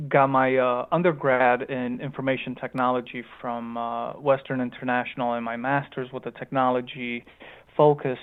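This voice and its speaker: Male interview guest on a tinny phone line, mid-pitched, light Romanian-Chicago accent, halting conversational delivery. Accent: slight Romanian mixed with Chicago. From Chicago, United States, born in Romania. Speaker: guest, tech company founder.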